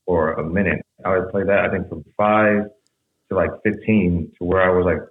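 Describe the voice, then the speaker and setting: Podcast guest, young man, very low voice, rambling storyteller, phone-like echoey sound. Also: normal speed. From San Jose, California. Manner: relaxed, rambling storytelling with fillers. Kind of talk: casual conversation.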